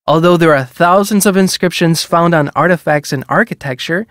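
A woman narrates museum exhibits for a video game. She is measured and formal, explaining in a scripted educational style, with a very dry recording free of room sound. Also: fairly low pitch, dry recording.

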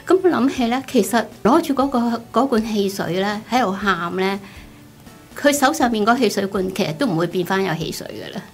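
A middle-aged woman with a Hong Kong Cantonese accent, giving a personal testimony in an expressive, conversational storytelling way. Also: mid-range voice, fairly quick. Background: background music.

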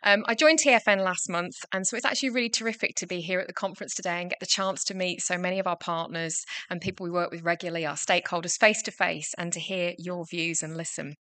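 A middle-aged woman opening a conference panel from a lectern, giving a prepared welcome speech in a steady, formal way. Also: fairly low pitch, fast talker, few pauses, dry recording. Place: conference stage indoors. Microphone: lectern microphone.

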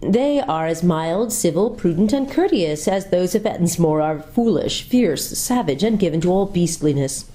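A woman's voice: lowish voice, expressive, moderate pace, few pauses, mellow, natural voice. A woman voicing a lovely, charming lady in green who speaks sweetly and musically, trilling her R's. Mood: gracious.

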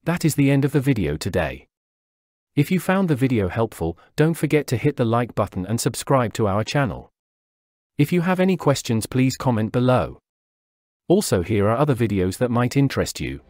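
A man narrating a scripted software tutorial, walking through each step in a steady, instructional way. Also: mid-range voice, moderate pace, dry recording, studio quality. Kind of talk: scripted software walkthrough voice-over. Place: voice-over over screen recording.

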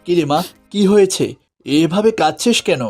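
A man voicing an honest, weary, gentle poor village father in a cartoon. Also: a little high, normal speed, soft background music, lightly acted. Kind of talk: cartoon fairy tale voice acting.

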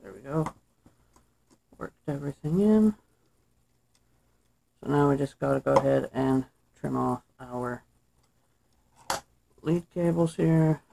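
Man, young adult, gravelly voice, casual DIY tutorial, workbench, faint room noise. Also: medium pitch, takes it slowly, pauses often, rough recording. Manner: relaxed, thinks aloud while working. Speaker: DIY maker. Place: indoor workshop table.

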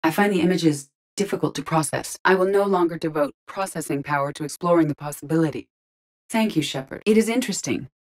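An actress playing a calm, logical artificial intelligence in an android body in a video game, speaking formally and precisely with restrained emotion. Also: female voice, sits low, fast. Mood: calm, matter-of-fact. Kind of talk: scripted video game voice acting.

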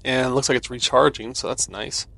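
An American man giving casual let's-play commentary, easygoing and thinking out loud. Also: medium pitch, fairly even intonation, fairly quick, vocal fry, no room sound. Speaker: gaming video host.

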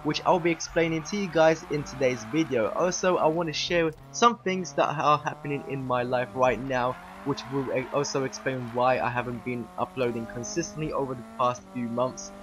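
Hoarse young man vlogging. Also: mid-range voice, moderate pace, hardly any pauses, a bit bright, slight background noise. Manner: chatty, many fillers, keeps saying "guys". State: hoarse, losing his voice. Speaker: YouTube gaming channel host. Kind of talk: casual vlog update over game footage.

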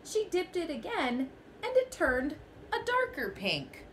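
A young woman teacher reads a children's picture book aloud, taking it slowly and letting her voice swoop up and down. Her voice sits a little high. She records close to a phone camera in a small bedroom, with a faint hiss behind her. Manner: expressive storytelling, first person as the goat.

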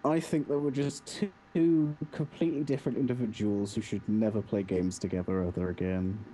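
Blunt, teasing man, mellow tone. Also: fairly low pitch, steady tone, fairly quick, slight background noise. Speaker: podcast co-host. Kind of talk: casual debating chat.

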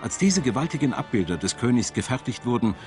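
A man narrating a scripted documentary in a measured, dramatic storytelling manner. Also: medium pitch, very animated. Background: music.

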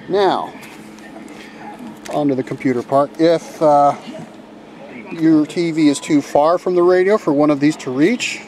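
A man's voice: a little high, a little mellow, a little hiss or hum. A man giving a casual how-to demonstration inside a motor home, talking in a folksy, plainspoken way with fillers and restarts, recorded on a handheld camcorder. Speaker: DIY video maker.

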